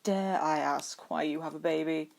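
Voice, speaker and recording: A woman's voice: low voice, fairly even intonation, moderate pace, vocal fry, a little mellow. A woman plays a young military man in an audio story, worn out and hungover. He speaks dryly and wearily, politely reluctant to be drawn into anything. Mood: dry, wary. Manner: dry, weary, reluctant. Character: tired, hungover, dry-humoured young army man.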